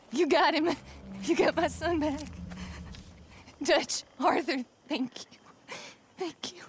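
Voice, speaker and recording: A devoted young mother from a video game, her voice pitched very high. She speaks with open, breathless feeling. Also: slow, pauses often, slight background noise. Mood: relieved joy. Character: fierce, devoted young mother in an outlaw camp. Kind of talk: video game cutscene acting.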